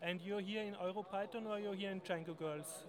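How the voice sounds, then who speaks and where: A man's voice: sits high, steady tone, a little fast, crowd noise. A man interviewing a girl, often restarting and rephrasing his questions. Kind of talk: casual interview.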